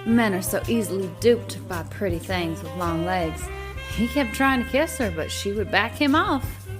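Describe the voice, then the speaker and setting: A woman's voice: mid-range voice, lively intonation, normal speed, lightly acted. A woman playing a gossipy, jaded older diner waitress with a sassy manner in an audio drama. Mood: gossipy, catty. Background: music.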